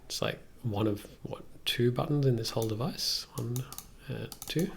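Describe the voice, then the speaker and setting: A man's voice: mid-range voice, normal speed, creaky, slight background noise. A young man giving a casual live tutorial, thinking aloud in a musing way as he streams. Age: young adult. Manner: musing, reads aloud then comments. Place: small room indoors at computer desk.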